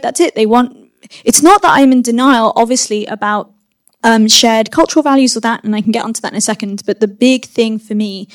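Young woman, thoughtful, slightly high. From London, UK. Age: young adult. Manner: thoughtful and circling, with many restarts and repeated "again". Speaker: film director. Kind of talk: reflective interview answer.

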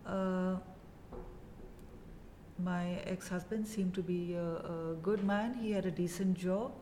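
A woman in her fifties from India with an Indian English accent, telling her story as a guest in a casual interview, measured and full of pauses. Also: lowish voice, fairly even intonation, dark tone, in a large hall, a little hiss or hum. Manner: measured, many pauses and "uh" fillers.

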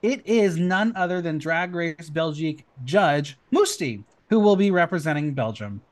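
A man hosting a casual podcast, chatty and excitable, rattling off news with lots of ums. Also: on the high side, normal speed. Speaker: podcast host.

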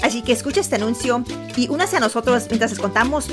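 Woman podcast host, higher voice, mixes in english, bit of music behind her. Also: quick pace. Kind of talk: podcast hosting, intro and interview.

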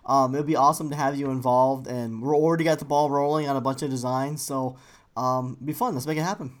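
Low-voiced enthusiastic woman interviewer. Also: steady tone, quick pace. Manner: enthusiastic, rambling with fillers. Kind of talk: casual interview chat.

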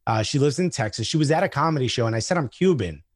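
A man chatting casually as a comedian guest, telling anecdotes in an animated way and acting out the dialogue. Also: mid-range voice, fast talker, no room sound, clean studio sound. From Newark, New Jersey, USA.

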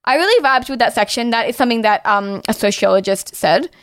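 A woman's voice: a little high, lively intonation, fairly quick, a bit bright, no room sound. A young Australian woman talking casually and bluntly as a podcast co-host, saying "like" constantly and swearing freely. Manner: outspoken, heavy use of "like", swears freely.

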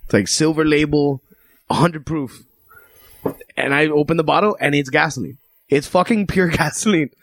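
A young man in casual chat, chuckling often and reacting quickly. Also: fairly high pitch, a bit bright, no echo.

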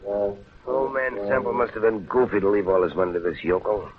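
Guy doing blunt cynical city type, low fast slangy voice, old radio play. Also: faint music behind, close to an everyday voice. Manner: slangy, wry. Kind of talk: 1930s radio acting. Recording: tinny, hissy 1930s radio broadcast.

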